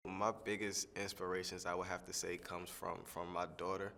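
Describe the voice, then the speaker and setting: A young man, a singing contestant, answering interview questions in a relaxed, rambling way with lots of fillers. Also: low-pitched, moderate pace, vocal fry, in a large hall. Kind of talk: press junket soundbite interview.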